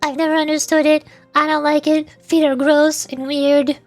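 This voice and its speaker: A woman with a small, squeaky, girlish voice, chatting casually and earnestly to viewers on a livestream. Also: little pitch movement, moderate pace, no room sound, faint music behind. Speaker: streamer and VTuber, voice actor.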